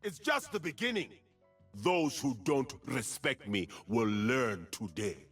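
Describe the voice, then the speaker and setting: A proud, measured warrior champion. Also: male voice, mid-range voice, lively intonation, fairly bright tone, slightly rough, faint music behind, heavily acted. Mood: commanding. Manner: measured, declamatory, stately. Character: proud, noble warrior champion, confident and resolute. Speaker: video game character. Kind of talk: performed game voice lines.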